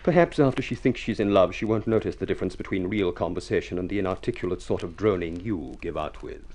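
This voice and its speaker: Guy acting a proud smooth-talking english gent, deep-ish, very theatrical, old radio show. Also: darkish tone, slight background noise, lightly acted. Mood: wry. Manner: grand, deliberate, theatrical delivery. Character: ugly, masterful, silver-tongued Englishman, suave and proud. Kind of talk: 1950s radio drama acting and narration. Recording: old radio recording with music.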